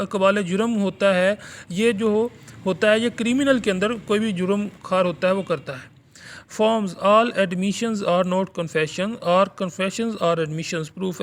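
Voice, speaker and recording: A man with a Pakistani accent giving an explanatory lecture in a halting, deliberate manner, as if from notes. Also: sits high. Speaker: law lecturer and channel host.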